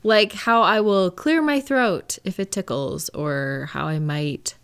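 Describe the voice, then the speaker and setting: A woman playing a caring, reassuring live-in partner in an intimate audio roleplay, speaking gently and conversationally with thoughtful, hesitant pauses. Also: lowish voice, clean tone. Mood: thoughtful, gentle. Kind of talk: intimate one-sided comfort roleplay, conversational acting.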